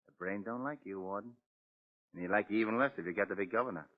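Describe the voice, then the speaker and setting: A man's voice: lowish voice, fast talker, lightly acted. Man acting a sneering American death-row gangster, slangy, taunting, 1940s radio drama, long reverb, dull old recording. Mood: defiant.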